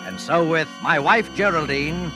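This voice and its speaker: A man's voice: fairly high pitch, measured pace. A man hosting a mystery series, delivering formal, dramatic, ominous scripted narration in 1940s radio style. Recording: vintage 1940s radio broadcast. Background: loud dramatic music.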